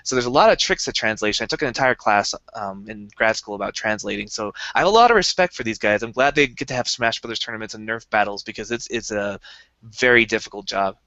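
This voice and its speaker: A man's voice: lowish voice, animated, fast, pushed and bright, dry recording, lo-fi sound. A young man co-hosting a casual podcast, explaining things eagerly in a rambling way with many restarts. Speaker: podcast co-host. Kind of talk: casual chat.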